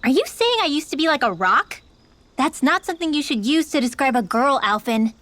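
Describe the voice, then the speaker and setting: A teenage girl mage from a fantasy game. Her voice is high and youthful, with a studious eagerness and a touch of indignation. It sounds dry, with no room around it. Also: big pitch swings, a little fast, a bit bright, no echo. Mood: sheepish, indignant. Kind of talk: video game cutscene acting.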